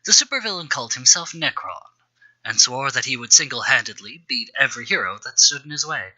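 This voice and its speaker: Bright, forceful man reading aloud. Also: medium pitch, expressive, brisk, poor audio. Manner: wry, self-deprecating. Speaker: host reading his own old fan fiction. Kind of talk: storytelling read-aloud with mocking asides.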